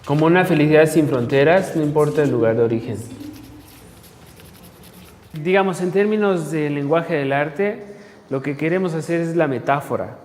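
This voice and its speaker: A man leading an art workshop, speaking in a thoughtful, measured way. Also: on the high side, expressive, darkish tone, slight background noise. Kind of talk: reflective group talk. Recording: echoey hall with music.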